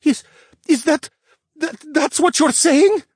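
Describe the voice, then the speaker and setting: A young bear demi-human boy from a fantasy audiobook voiced by a man, pitched well up high and very animated. He is earnest and easily upset, with a stammering, childlike way of talking. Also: a little slow, no room sound, studio quality. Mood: panicked, stammering. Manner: stammering, wide-eyed, childlike.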